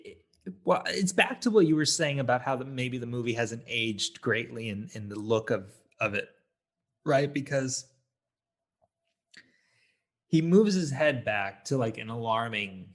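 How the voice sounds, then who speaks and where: A man with an average-pitched voice chats as a co-host on a film review podcast. He speaks informally, piecing his thoughts together in short fragments. The delivery is conversational and somewhat halting. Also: a bit bright. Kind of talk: casual film review chat.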